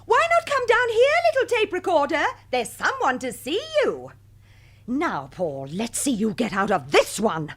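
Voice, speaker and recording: An English actress playing a bossy, sarcastic wife at her wits' end in a radio drama, scolding in formal, precise wording. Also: high voice.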